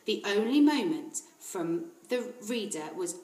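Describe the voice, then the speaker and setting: A woman reading a children's story aloud like a teacher, in an expressive narrating style. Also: medium pitch, measured pace, reverberant.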